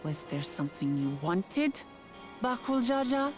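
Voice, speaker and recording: A proud young heroine of a fantasy game. Her voice sits at an ordinary female pitch, earnest and firm, with loud music underneath. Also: sing-song, measured pace. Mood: defiant. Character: earnest, proud young female claimant to a throne, feline warrior. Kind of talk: video game voice acting.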